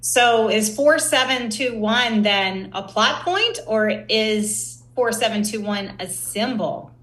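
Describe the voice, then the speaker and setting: A woman's voice: on the high side, lively intonation, slow pace, fairly bright tone. A woman co-hosting a podcast, asking questions in a conversational interview style. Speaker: podcast co-host. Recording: roomy, echoey sound.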